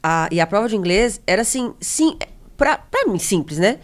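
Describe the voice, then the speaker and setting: A woman's voice: mid-range voice, sing-song, normal speed. A woman who works as a flight attendant, chatting on a podcast and telling stories with many restarts. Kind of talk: casual podcast conversation.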